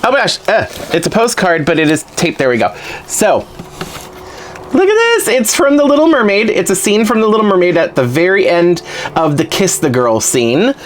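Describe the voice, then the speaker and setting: A man chatting casually to camera at home as he opens fan mail, excitable and rambling with little asides. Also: high-pitched, moderate pace, slight background noise. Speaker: vlogger opening fan mail. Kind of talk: casual unboxing chat.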